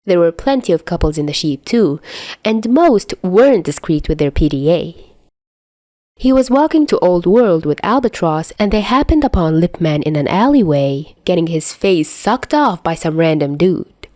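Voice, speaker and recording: A woman reading a story aloud as an expressive fiction narrator. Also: lowish voice, normal speed, no room sound.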